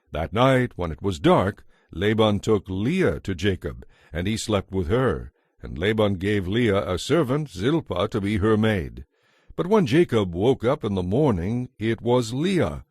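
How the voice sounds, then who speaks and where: A man narrating scripture as a dramatized story, in an expressive, measured way. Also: lowish voice, no echo, clean studio sound.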